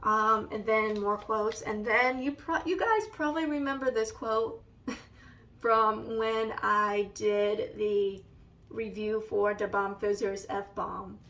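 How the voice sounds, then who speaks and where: A woman with a raspy, allergy-hoarse voice, slowly and hesitantly showing a scrapbook in a casual vlog. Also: medium pitch, a bit bright, distant mic. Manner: slow and hesitant, many ums. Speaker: vlogger. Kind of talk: casual show-and-tell vlog.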